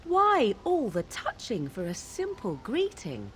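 A woman's voice: a little high, huge pitch swings, slow pace, a bit bright, slight background noise, heavily acted. A woman playing a proud, sardonic young witch in a video game, speaking in formal, archaic, disdainful phrases. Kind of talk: video game dialogue acting.

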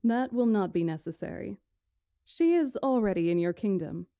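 A woman's voice: medium pitch, expressive, normal speed, dry recording, clean studio sound, close to an everyday voice. A woman voicing a composed, authoritative witch in a visual novel, with formal, stately delivery. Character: composed, authoritative witch presiding over negotiations. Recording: loud music over the voice.

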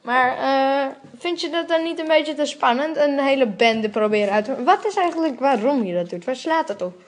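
Woman as a small, hesitant gang defector, high-voiced. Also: lively intonation, normal speed. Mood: hesitant, bargaining. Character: small, weak, hesitant gang defector Samuel, a young male. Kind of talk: amateur Dutch radio drama acting.

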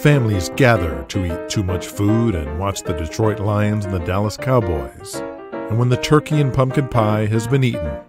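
A low-voiced man narrates an audio drama in a measured, storytelling way. Guitar music plays clearly underneath his voice.

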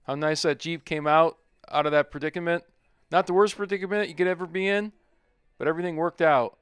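A man's voice: a little high, steady tone, fairly quick, fairly bright tone, smooth, no room sound. A middle-aged man narrating his off-road trip in a plain-spoken, easygoing voice-over. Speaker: overlanding vlogger.

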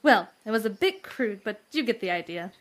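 A woman's voice: medium pitch, expressive, fairly quick, lightly acted. An actress playing a refined, bookish, earnestly enthusiastic young lady in a western audio drama, with formal, florid diction. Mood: bright, delighted.

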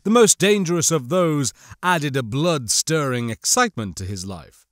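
A man reads a science fiction audiobook with measured, literary delivery. His voice sits a little high and moves with plenty of melody. The sound is close and dry. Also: animated, a bit bright, no room sound. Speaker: audiobook narrator. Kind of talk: scripted dramatic narration.

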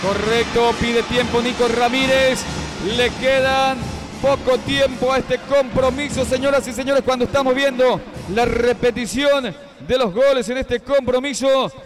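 A man with a Paraguayan Spanish accent calls a futsal match live in a very high voice. His play-by-play comes quick and excited and rises when a goal is near. Music plays clearly underneath. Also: a crowd in the background. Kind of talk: live sports commentary.

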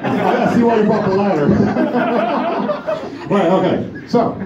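Man, 30s, crude club comic working the front row; animated, slightly high voice, distant dull room recording. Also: slow, echoey room, over a noisy crowd. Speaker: stand-up comedian. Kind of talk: open-mic stand-up set. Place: small comedy bar stage with audience. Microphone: handheld stage mic, filmed from far above. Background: audience laughter.

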